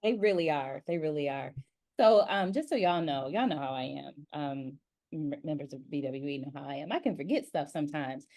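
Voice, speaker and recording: A woman hosting a casual interview as an acting coach, talking in an effusive, animated storytelling way. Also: sits low, brisk.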